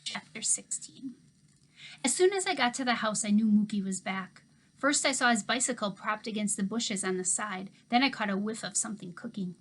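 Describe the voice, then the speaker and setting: A woman teacher reading a children's novel aloud to her class, expressive, dropping to a hush for asides. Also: mid-range voice, moderate pace. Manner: expressive storytelling, hushed asides.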